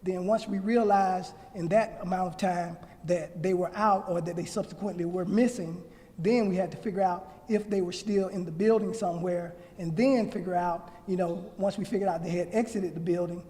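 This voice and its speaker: Male official, high-pitched and mellow, measured formal press answers, reverberant hall. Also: steady tone. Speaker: law enforcement official answering press questions.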